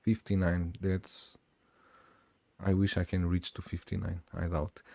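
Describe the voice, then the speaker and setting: A man in his forties hosts a relaxed livestream, reading viewer chat aloud and trailing into restarts and easy laughter. His voice sits low and mellow. The sound is dry and close. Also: creaky, no room sound. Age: 40s. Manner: halting, many restarts, reads chat aloud, laughs often. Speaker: host. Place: Australia.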